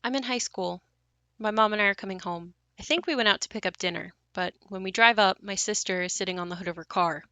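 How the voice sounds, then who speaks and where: Bright-voiced actress as a wry young woman narrator. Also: mid-range voice, fast, dry recording. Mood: matter-of-fact. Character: young woman recalling her haunted family home, wry, reflective. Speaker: narrator and lead of an audio play. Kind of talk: first-person dramatic monologue.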